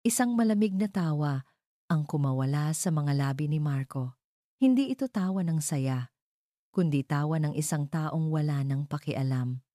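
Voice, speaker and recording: Woman telling a dramatic love story, low mellow voice, close dry sound. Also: expressive, moderate pace, no room sound. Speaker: narrator.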